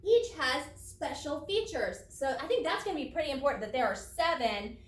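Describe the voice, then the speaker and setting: A woman teacher reading aloud to children and explaining each step in a deliberate, instructive way. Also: high-pitched, big pitch swings. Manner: deliberate, explanatory, spelling out steps for young pupils. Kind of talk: children's read-aloud lesson with think-aloud commentary. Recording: roomy echo, distant from microphone.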